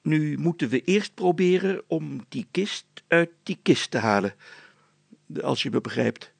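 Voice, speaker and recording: A man's voice: a little high, a little slow, gravelly, slightly rough, dry recording, voice pushed up, heavily acted. A man voicing a pompous, grumpy, whiny and stubborn mayor in a children's story, blustering and complaining as he gives orders. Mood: commanding. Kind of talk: children's storybook character acting.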